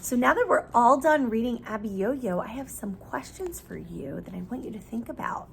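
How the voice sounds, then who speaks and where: A woman with a high voice that often drops into creak reads a story aloud to children. She is animated and teacherly, explaining words in simple terms and putting questions to her listeners. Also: moderate pace, slight background noise. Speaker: teacher reading a picture book aloud to children.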